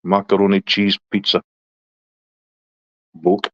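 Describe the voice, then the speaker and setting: Chatty low-voiced Scottish man. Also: very animated, takes it slowly, vocal fry, fairly bright tone, no echo, lo-fi sound. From Scotland. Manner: chatty, reads and answers viewer comments. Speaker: livestreamer chatting with viewers. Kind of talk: casual live stream chat.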